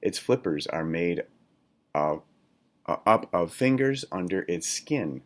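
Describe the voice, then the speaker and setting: A man reading a children's nonfiction book aloud in a deliberate, instructional manner, like a teacher. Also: low voice, lively intonation. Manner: deliberate, instructional, poses questions to listeners. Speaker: read-aloud reader, teacher.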